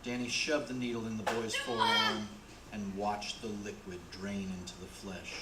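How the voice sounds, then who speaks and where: A man's voice: fairly low pitch, steady tone, normal speed. A man narrating a radio play in a dramatic storytelling style. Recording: roomy with clear background noise.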